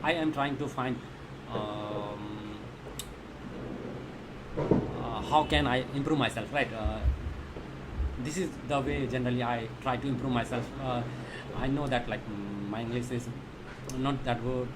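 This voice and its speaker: An Indian-accented man whose first language is Thethi talks casually as a live coding streamer. He speaks haltingly, circling back over phrases as he looks for the next word. His voice sits at a medium pitch, with clear noise in the recording. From Magadha region of India. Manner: halting, repetitive, searching for English words. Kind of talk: casual livestream chat.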